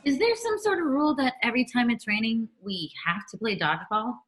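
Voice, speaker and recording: A woman's voice: on the high side, expressive, fairly quick, noisy background, lightly acted. An American woman playing a bold, bossy thirteen-year-old schoolgirl in a script table read on a video call. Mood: matter-of-fact. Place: room at home, on a group video call.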